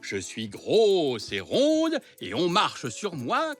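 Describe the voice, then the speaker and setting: Slow, lively old grandfather zebra. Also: on the high side, soft background music, full character voice. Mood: playful. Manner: hesitant, chuckling, playful. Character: kindly inventive old grandfather zebra, playful and jolly. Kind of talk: animated children's series dub.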